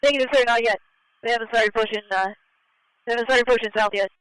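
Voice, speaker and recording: A police airship officer answering over the radio with quick, polite replies. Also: mid-range voice, steady tone, a bit bright, smooth, dry recording, rough recording. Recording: dry scanner radio audio.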